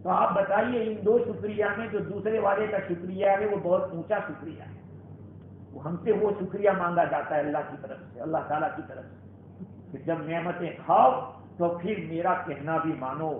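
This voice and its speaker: High-voiced male preacher, heavy echo. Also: moderate pace, narrow, tinny audio. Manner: exhortative, rhetorical questions, swelling and dropping emphasis. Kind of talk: Friday sermon. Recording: band-limited, very echoey hall, faint noise.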